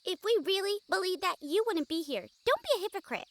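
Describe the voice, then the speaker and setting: A woman playing an excitable, kind-hearted young female harpy in an audio roleplay, with an eager, girlish, bubbly way of talking. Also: extremely high pitch, a little fast, no room sound. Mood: concerned, pleading.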